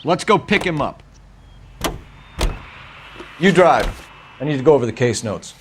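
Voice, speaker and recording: A man's voice: on the high side, big pitch swings, a little slow, noisy background. An American man in his thirties playing an earnest, clipped police detective and war veteran in a game drama. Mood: businesslike. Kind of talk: cinematic game drama acting.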